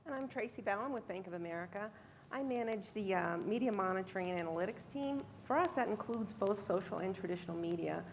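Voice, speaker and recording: A woman on a conference panel speaks with a gravelly, fry-heavy voice. She is matter-of-fact, with faint room noise behind her. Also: mid-range voice, animated, fast, rough recording. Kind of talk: panel self-introduction.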